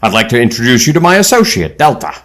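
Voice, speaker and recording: A man's voice: mid-range voice, very animated, fast talker, fairly bright tone. A man playing a genial, learned older leader of adventurers in an audio drama, speaking in an erudite manner. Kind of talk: audio drama acting and narration.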